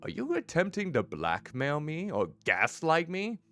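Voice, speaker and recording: A man streaming a story game as a VTuber, chatting with his viewers in a playful, teasing way with a deep voice. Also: huge pitch swings, a little slow, a bit bright, no echo. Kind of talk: casual gameplay commentary.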